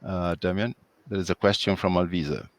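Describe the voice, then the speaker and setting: Guy hosting a webinar on a video call, deep voice, dry sound, kinda halting. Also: steady tone, dry recording. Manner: hesitant, halting, many fillers. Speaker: webinar moderator.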